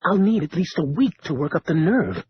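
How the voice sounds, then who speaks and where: A man voicing a nervous, fussy male librarian who talks in whiny, excitable bursts. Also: on the high side, normal speed, breathy edge, no echo, highs cut off, exaggerated voice. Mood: agitated.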